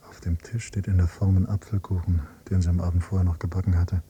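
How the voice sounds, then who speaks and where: A man acting as a grieving, quietly bitter adult son in a radio drama, speaking in a sombre, measured way. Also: low-pitched, little pitch movement, slightly rough. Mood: numb, sombre. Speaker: first-person narrator and character. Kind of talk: literary radio drama monologue and dialogue. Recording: noisy hummy cassette copy of radio play.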